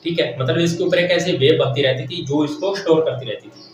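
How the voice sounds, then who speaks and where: A man's voice: on the high side, brisk. Guy teaching tech class, really echoey room, bit far from mic, explains step by step and repeats stuff. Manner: repeats phrases, asks and answers own questions. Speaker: technical teacher. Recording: very echoey room, distant mic, faint noise.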